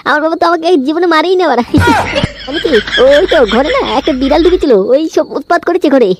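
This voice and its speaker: A woman voicing a cheeky, foul-mouthed, lovestruck young man in a comedy cartoon, whiny, excitable and crude. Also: sits high, fast, natural voice. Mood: eager, coaxing. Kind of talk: amateur comedy cartoon dubbing. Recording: clearly noisy homemade cartoon audio.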